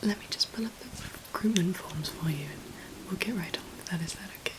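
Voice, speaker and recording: A young woman softly whispering close to the mic in a polite, gentle ASMR roleplay as a consultant. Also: on the low side, fast talker, heavy background noise. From England. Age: young adult. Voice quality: soft breathy whisper. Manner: polite, gentle, attentive customer-service patter. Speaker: ASMR creator playing a recruitment consultant. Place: small room at home, indoors. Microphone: close microphone. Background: computer keyboard typing.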